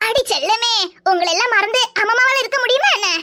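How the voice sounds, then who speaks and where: Woman as a gentle old southern Tamil grandmother, noisy audio. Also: very high-pitched, fast talker. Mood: affectionate. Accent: southern Tamil Nadu. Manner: tender, uses endearments, reluctant to impose. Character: elderly, gentle, affectionate grandmother. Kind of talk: cartoon character dialogue. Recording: very loud background noise.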